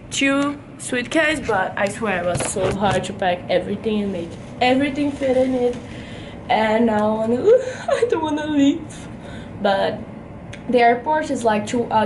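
A chatty young Brazilian woman with a fairly high voice, talking straight into a camera held at arm's length. Her English carries a Brazilian Portuguese accent and plenty of "like" fillers. The recording has a clear layer of noise behind her. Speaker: vlogger. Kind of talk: casual travel vlog to camera. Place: hotel room, indoors.

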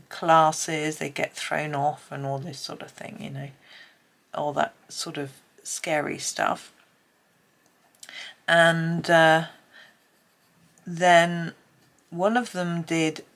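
A low, gravelly British woman thinking aloud at a craft table, rambling hesitantly to whoever is colouring along at home. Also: fairly bright tone. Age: middle-aged. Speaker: colouring tutorial host. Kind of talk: casual rambling chat while colouring. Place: indoors at a desk.

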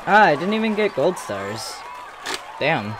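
A man's voice: fairly high pitch, sing-song, unhurried, crowd noise, low-quality recording. A man giving casual, offhand gameplay commentary in short fragments as a streamer. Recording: game music behind the voice.

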